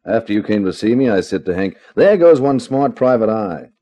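An actor playing a menacing, sardonic nightclub gangster boss in a 1950s radio crime drama. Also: male voice, lowish voice, animated, normal speed, mellow. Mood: sardonic, gloating.

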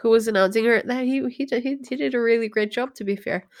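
A woman's voice: fairly high pitch, fast talker. A woman in her thirties with a slightly nasal voice, co-hosting a podcast in a playful, chatty, casual way. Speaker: podcast co-host.

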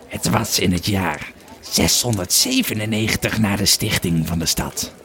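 Man voicing a gruff, deep-voiced old Roman legionary. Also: a bit bright. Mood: weary, resigned. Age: older. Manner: gruff, slow storytelling. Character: grumpy old Roman legionary, male, weary and wine-drinking. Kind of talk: audio drama storytelling.

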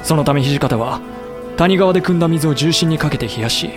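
An actor playing a thoughtful samurai official who narrates a war in measured, explanatory audio drama style. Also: male voice, on the high side, over music, lightly acted. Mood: measured narration. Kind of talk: scripted dramatic narration and acting.

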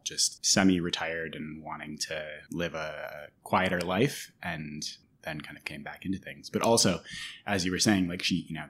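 Man, podcast host, low voice, casual interview chat, keen. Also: fairly bright tone.

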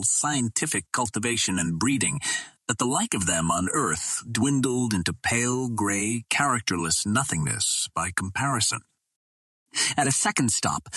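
Low-voiced man narrating an adventure novel. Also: a bit bright, slightly rough, dry recording. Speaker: audiobook reader.

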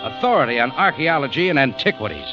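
A tough, wry narrator in a hard-boiled 1940s radio drama, voiced by an actor. His delivery is laconic, yet his pitch moves a lot. The old broadcast sound is dull, and music plays clearly behind him. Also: big pitch swings, fast talker, lightly acted. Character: tough, wry man running a cafe, first-person narrator.

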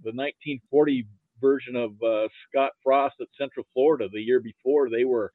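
Halting American man on a phone-like line. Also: mid-range voice, fairly even intonation, no room sound. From Nebraska, United States. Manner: halting, repeats words. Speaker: interview guest, football archivist. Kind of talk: casual chat, reminiscing.

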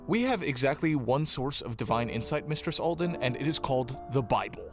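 An actor playing a prim, easily flustered Puritan minister in a period audio drama, speaking formally with a stammer. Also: male voice, medium pitch, close to an everyday voice. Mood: prim, guarded. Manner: stammering, formal, fussy diction.

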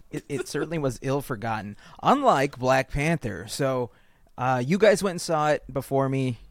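An easygoing man co-hosting a podcast, chatting casually and laughing often. His pitch is ordinary and the sound is dry and close to the mic. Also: very animated, unhurried, dry recording. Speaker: podcast co-host. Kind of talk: casual chat.

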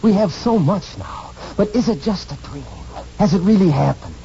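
An actor playing an earnest, awestruck newlywed husband in a 1940s radio romance, speaking with halting intensity. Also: male voice, high-pitched, expressive, gravelly, warm tone. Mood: halting, awed. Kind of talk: 1940s radio drama acting. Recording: muffled old radio recording with background noise.

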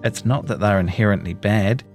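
Low-voiced New Zealand man reading a feature. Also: moderate pace, over music. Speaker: reporter reading his own long-form article. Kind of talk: scripted narration of a feature story.

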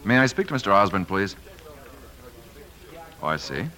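An actor playing a cautious, by-the-book passport official, formal and polite, in 1950s radio drama style. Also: male voice, lowish voice, animated, normal speed, sharp tone. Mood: businesslike. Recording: vintage 1950s radio broadcast.

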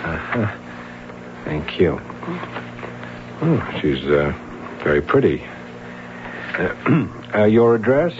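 An actor playing a weary, hesitant, tactful police sergeant in a 1970s radio drama. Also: male voice, fairly low pitch, sing-song. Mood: placating. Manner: halting, tactful, official. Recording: noisy, dull old radio broadcast.

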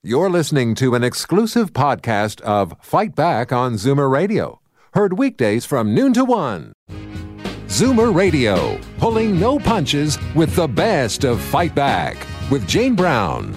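A man reads radio promo links slowly, with big swoops in his pitch. His booming, announcer's delivery sits over clear music. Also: huge pitch swings. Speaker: station announcer.

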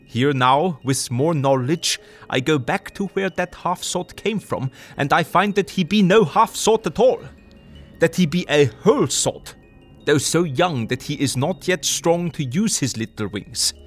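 Old professor, slow and all over the place pitch-wise, faint music under. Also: male voice. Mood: lecturing. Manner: broken English, emphatic lecturing, lively swings. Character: elderly male Dutch professor, earnest, lecturing. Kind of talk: audio drama acting.

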